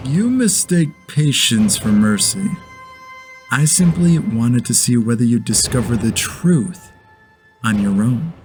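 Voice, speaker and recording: A man voicing a calm, menacing mastermind villain in a dramatic read, measured and condescending. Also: medium pitch, soft background music. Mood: cold menace.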